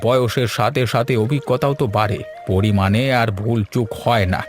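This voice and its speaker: A man's voice: medium pitch, normal speed, close to an everyday voice. A man voicing an experienced older tea-seller uncle in a cartoon, easygoing and explanatory, with music behind. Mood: proud. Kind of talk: cartoon character dialogue.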